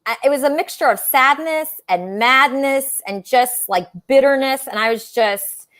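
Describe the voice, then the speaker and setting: A woman's voice: high voice, measured pace, fairly bright tone. A woman hosting a casual livestream talk show, chatting with callers in a gushing, emphatic way.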